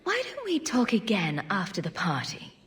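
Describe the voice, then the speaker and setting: A woman playing a calm, diplomatic adventurer in a video game, speaking gently with light teasing. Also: medium pitch, moderate pace. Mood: composed. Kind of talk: video game dialogue acting.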